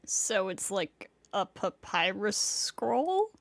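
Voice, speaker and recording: A woman's voice: medium pitch, sing-song, extremely slow. A woman chatting casually and reading aloud as she streams a video game. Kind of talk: casual chat and reading aloud while playing.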